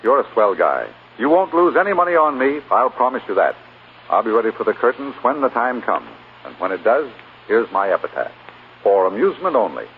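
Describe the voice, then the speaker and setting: Glib, swooping Hollywood charmer, old radio. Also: male voice, mid-range voice, big pitch swings, brisk, narrow, tinny audio. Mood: wry resignation. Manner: glib, hesitating, playfully wry. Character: charming, hard-drinking, self-mocking male Hollywood movie star. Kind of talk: 1940s radio drama acting. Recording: scratchy, noisy, band-limited 1940s radio broadcast.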